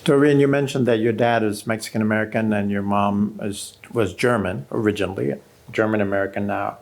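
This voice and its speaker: A man's voice: fairly low pitch, steady tone, a little fast, darkish tone. A man interviewing a guest, asking curious, slightly hesitant questions.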